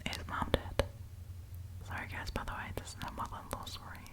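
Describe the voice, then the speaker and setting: Female ASMR host, whispered throughout, informal storytime reading and commentary, close-miked. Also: fairly quick. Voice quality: breathy unvoiced whisper. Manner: chatty, informal asides. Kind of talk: whispered ASMR, reading online stories aloud with casual commentary. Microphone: close propped-up microphone.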